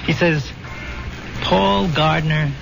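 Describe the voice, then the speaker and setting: An American actor playing the ghost of a dead soldier in a radio drama, measured, halting and quietly ominous. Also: male voice, a little high, fairly even intonation, creaky, exaggerated voice. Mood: wry, bitter. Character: dead soldier's ghost, a calm, fateful old buddy. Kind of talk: 1970s radio drama acting. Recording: muffled old radio, loud music.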